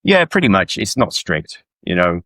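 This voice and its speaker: Middle-aged male author from South Wales, notably low register, informal interview guest, very little room sound. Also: sing-song, moderate pace, creaky, sharp tone, dry recording. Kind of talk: casual conversational interview. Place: indoors at home on a video call.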